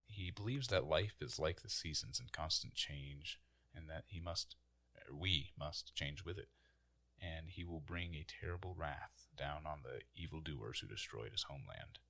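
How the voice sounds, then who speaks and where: A man's voice: rumbling low, normal speed, gravelly, sharp tone. A man in his twenties, a player on a tabletop game podcast, speaking with some theatrical flair. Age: 20s.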